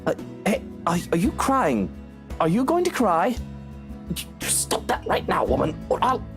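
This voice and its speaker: A man's voice: sits high, huge pitch swings, moderate pace, gravelly, a bit bright, soft background music, exaggerated voice. An actor voicing a prickly, awkward, blustering man in a video game, easily flustered. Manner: blustering, stammering.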